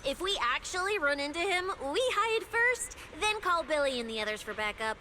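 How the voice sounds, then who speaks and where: Very high, cheeky young female investigator. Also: moderate pace, a little hiss or hum, exaggerated voice. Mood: breezy, wary. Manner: expressive, cheeky, exclamatory. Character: cheeky, excitable young female case specialist, boastful but cautious. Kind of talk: animated video game voice acting.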